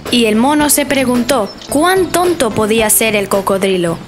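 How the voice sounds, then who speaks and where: A woman narrating a children's bedtime story in a gentle, clear storytelling manner. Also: a little high, animated, moderate pace. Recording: narration over music.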